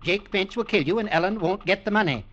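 An actor playing a cold, taunting, middle-aged businessman uncle in an old radio drama, with a sneering, deliberate delivery. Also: high voice, fairly bright tone, a little hiss or hum. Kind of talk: 1940s-50s radio drama acting. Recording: dull vintage radio recording.

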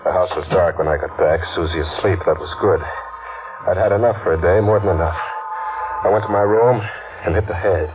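An actor playing a desperate, scheming killer in a 1940s radio mystery, speaking in hesitant, clipped phrases. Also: male voice, sits low, fairly quick, natural voice. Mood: grim, brooding. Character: desperate, scheming man, a guilty killer confessing his crime. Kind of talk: 1940s radio mystery acting, first-person narration. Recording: dull vintage radio recording, loud music.

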